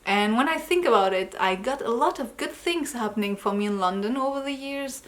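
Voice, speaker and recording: A woman's voice: on the high side, expressive, fairly quick. A woman who grew up in Germany, tired, chatting loosely in a personal vlog update as an artist, often correcting herself and laughing lightly. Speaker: artist vlogger.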